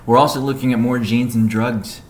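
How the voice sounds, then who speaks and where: Guy doing a company update on a conference call, monotone, echoey room w a bit of noise. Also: medium pitch, brisk. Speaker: company executive giving update. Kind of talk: conference call business briefing.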